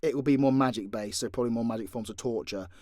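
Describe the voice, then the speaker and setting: A man's voice: mid-range voice, fast, warm tone, no echo, studio quality. A British man answering fan questions in a casual, unscripted way, blunt and joking, with plenty of swearing. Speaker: voice actor and content creator answering fan questions.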